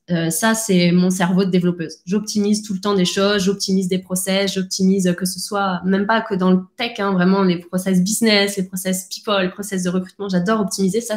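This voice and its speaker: A woman in a relaxed interview, talking in quick bursts that she keeps restarting. Her voice sits in an ordinary middle range. The sound carries the echo of a bare room. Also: mid-range voice. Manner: fast and animated, many restarts and fillers. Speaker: podcast guest, founder. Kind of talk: casual conversational interview.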